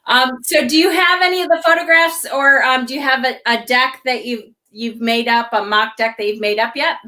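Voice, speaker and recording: A woman hosting a casual interview, asking viewer questions and giving quick encouraging reactions. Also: high-pitched, steady tone, bright, forceful tone. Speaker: host.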